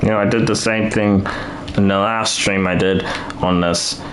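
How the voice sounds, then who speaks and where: A man's voice: on the low side, fairly even intonation, normal speed, a little hiss or hum, low-quality recording. A man live-streaming a building game, rambling and thinking aloud with lots of restarts while he is losing his voice.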